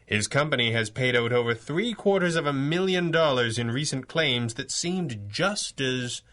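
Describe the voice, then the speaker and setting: A man performs a dashing masked crimefighter from a 1930s radio serial. His voice is bright and forceful at a middling pitch. He speaks formally and dramatically, with stern resolve. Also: animated, normal speed, natural voice. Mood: businesslike. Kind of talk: 1930s radio adventure acting.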